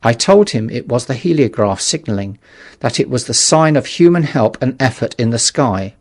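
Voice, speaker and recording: A man's voice: mid-range voice, animated, moderate pace. A man narrating a literary audiobook in a dramatic, scripted storytelling style.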